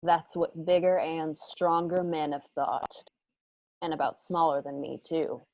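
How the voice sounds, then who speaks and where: A woman acts out a defiant, hot-tempered young female warrior in a radio drama. Her voice is a little low and the delivery slow, brash and taunting, recorded dry and close. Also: little pitch movement, darkish tone, dry recording, low-quality recording.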